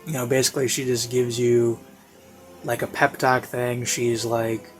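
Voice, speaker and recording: A man's voice: medium pitch, little pitch movement, a little slow, poor audio. A man giving a casual, unscripted review, rambling informally and repeating phrases for emphasis. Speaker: video reviewer. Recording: classical music playing behind voice. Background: baroque classical music.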